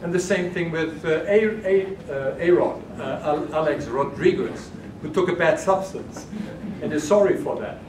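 A man's voice: fairly high pitch, moderate pace, few pauses. Older man lecturing in an echoey hall. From Germany. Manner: hesitant, many restarts and fillers. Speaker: keynote speaker. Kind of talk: conference lecture answering questions. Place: large carpeted conference room. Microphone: far room camera. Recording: echoey hall from far off, noisy.

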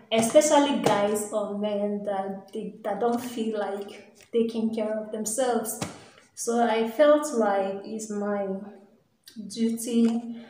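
A woman's voice: mid-range voice, dark tone, a little hiss or hum. A young Nigerian woman vlogging casually to her viewers in a small room indoors, chatty and direct. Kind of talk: casual vlog talk to camera. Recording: very echoey bare room.

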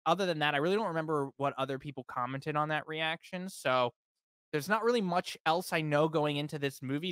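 A young man co-hosts a movie reaction, rambling with lots of fillers. His voice is bright and a little high. He sits close to a studio mic in a small, dry room. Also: no room sound. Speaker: co-host of a movie reaction channel. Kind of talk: casual reaction chat. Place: small home room, indoors. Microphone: studio mic on a stand, headphones.

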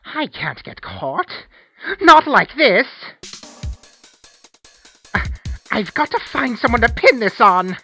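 A scheming, panicked killer played by a woman in a courtroom game dub. The delivery is slow and drawn out with wild swings of pitch, full of menace, over clear music. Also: sing-song, bright, forceful tone, full character voice. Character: panicked, scheming murderer hunting a scapegoat.

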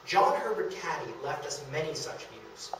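Male history lecturer, slightly high voice, very echoey room, picked up from a distance. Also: sing-song, normal speed, very noisy. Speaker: lecturer presenting a history talk. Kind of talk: illustrated public lecture. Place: indoor meeting room of a historic house. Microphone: camera across the room, far from speaker.

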